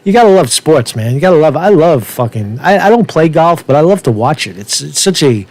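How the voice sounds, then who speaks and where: Male commentary host, slightly high voice, profane and slangy, loose rambling delivery that stumbles and repeats. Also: very animated, a little fast, a little mellow, dry recording, poor audio. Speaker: host of his own news commentary show. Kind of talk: casual rambling news commentary.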